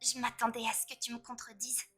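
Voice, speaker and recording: A woman voicing a proud, sharp-tongued teenage girl in a French fan dub, talking in a cool, cutting way. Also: fairly high pitch, little pitch movement, fast. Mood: self-critical. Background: faint music.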